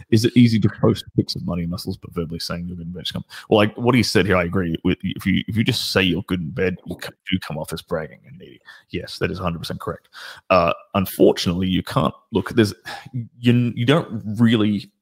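A deep, low-voiced man with a husky, slightly rough edge talks with sure confidence as a guest dating coach. He rambles in casual chat and restarts sentences, but keeps a self-assured drive. His tone stays low and grainy throughout. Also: a little fast.

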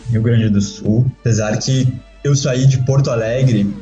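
Calm thirties man, mellow voice, roomy sound. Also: mid-range voice, a little slow, muffled recording, faint music behind. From southern Brazil. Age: 30s. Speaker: podcast guest. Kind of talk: casual interview chat.

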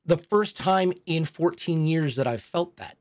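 A man in his forties talks openly and thoughtfully on a casual podcast. His voice sits a little high for a man. He takes his time and reflects as he tells his story. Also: expressive, measured pace, darkish tone. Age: 40s. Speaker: podcast co-host. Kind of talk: casual chat.

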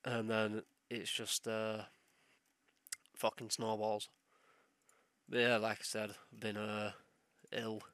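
A man's voice: lowish voice, completely flat delivery, slow, pauses often, a bit bright, no room sound, studio quality. A young man hosting a solo podcast while ill, with a sore throat and sniffles, rambling and swearing as he loses his train of thought. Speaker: podcast host.

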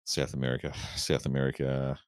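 Very deep-voiced podcast host. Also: male voice, completely flat delivery, brisk, smooth, dry recording. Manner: easygoing, reads out figures. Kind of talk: casual chat.

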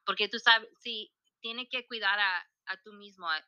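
A woman in her forties, a podcast guest, talking in a halting way with many restarts and switching between Spanish and English. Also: medium pitch, steady tone, sharp tone, clear, resonant tone, lo-fi sound. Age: 40s. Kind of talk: casual interview chat.

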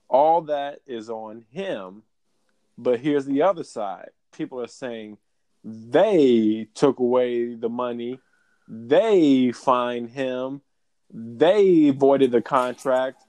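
A man's voice: medium pitch, a little mellow, no echo. Laid-back male co-host, loose chatty delivery, dry close mic. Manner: laid-back, repeats phrases for emphasis. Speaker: podcast co-host. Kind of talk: casual sports podcast chat.

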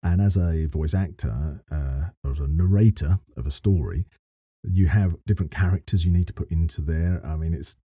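A middle-aged man with a very low, dark and slightly husky voice talks on a podcast. He explains things earnestly and at length, often rephrasing as he goes. The sound is close and dry. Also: moderate pace, no echo. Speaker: podcast guest, voice actor and narrator.

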